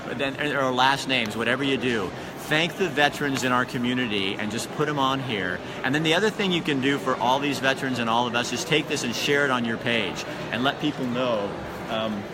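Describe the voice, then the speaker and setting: A man's voice: medium pitch, brisk. Earnest man livestreaming from busy street. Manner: earnest, preachy, chatty. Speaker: livestream host. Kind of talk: casual live interview and appeal. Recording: loud outdoor traffic noise.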